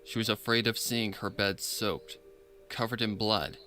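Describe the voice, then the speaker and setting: A man's voice: lowish voice, steady tone, measured pace, sharp tone, soft background music. A man reading a horror story in a dramatic, scripted narration style.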